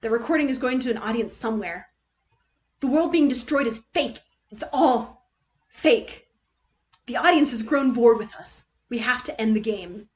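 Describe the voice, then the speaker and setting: A woman voices a scheming teenage boy in a dramatic story. He sounds cynical and calculating, as if muttering plans to himself. The pitch is on the high side and the recording is a little dull. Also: lively intonation, normal speed, lightly acted. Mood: desperate, intense. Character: scheming, cynical teenage boy writing unhinged secret notes.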